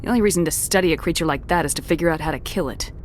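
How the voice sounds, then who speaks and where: A woman's voice: low voice, rapid-fire, slight background noise, close to an everyday voice. A woman playing a tough, blunt military commander in a video game, with a curt, commanding manner. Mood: firm. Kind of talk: video game dialogue acting.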